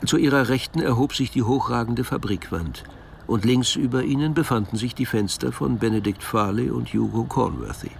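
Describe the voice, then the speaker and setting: A man narrating a radio crime play in a measured, grave literary style. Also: medium pitch, gravelly, a little hiss or hum.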